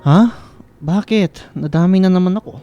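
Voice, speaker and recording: A man playing a quiet, shy young student in a radio drama, hesitant and mild, with a Filipino accent. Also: high-pitched, normal speed, clean tone, dull audio, faint music behind, close to an everyday voice. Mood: wry, mild.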